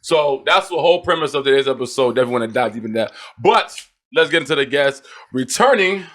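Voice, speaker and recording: Male podcast host, medium pitch, informal and slangy, relaxed conversational delivery. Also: fast talker. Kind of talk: casual podcast chat.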